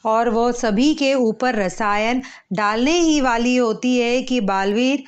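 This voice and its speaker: A woman storyteller reading a scripted children's moral tale, measured and phrase by phrase. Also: on the high side, smooth, highs cut off.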